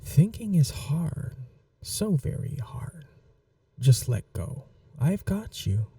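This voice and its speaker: Woman to play seductive vampire noblewoman for ASMR roleplay: very dark, mellow, creaky voice, soft whispering, possessive and teasing. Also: sits low, very animated, no room sound, far from the mic, full character voice. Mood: hypnotic, coaxing. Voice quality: soft, breathy, often whispered. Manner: slow, languid, teasing and coaxing.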